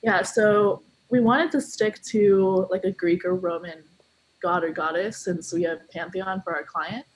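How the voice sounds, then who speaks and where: Slightly husky woman, a little sick. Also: lowish voice, fairly even intonation, unhurried, low-quality recording. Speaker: client developer giving a team update. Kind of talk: status report on a video call. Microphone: video call.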